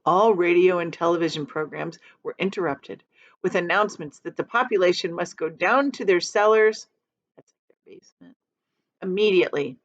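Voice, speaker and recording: A woman reading a children's book aloud like a teacher, expressive and dramatic, pausing to explain things to young listeners. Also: fairly low pitch, highs cut off. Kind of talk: animated storytime read-aloud with explanatory asides.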